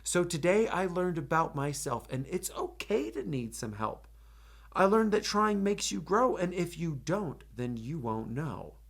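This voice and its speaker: A man's voice: a little high, sing-song, measured pace, gravelly, exaggerated voice. A man voicing a ten-year-old former superhero boy, wistful but determined, in a rhyming picture-book read-aloud. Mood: hopeful, resolved.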